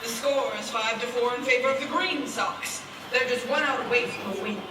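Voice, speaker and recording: Woman acting an excited baseball announcer, echoey. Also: on the high side, moderate pace, natural voice. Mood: tense commentary. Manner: punchy, building excitement. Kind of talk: sports commentary in a stage play. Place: large auditorium. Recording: echoey, noisy auditorium, distant.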